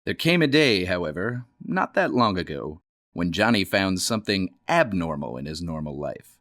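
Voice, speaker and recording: A man reading a children's storybook aloud. He plays up the words with dramatic emphasis, his pitch leaping and dropping all through. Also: big pitch swings, normal speed, fairly bright tone. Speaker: storybook reader. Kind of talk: read-aloud storybook narration.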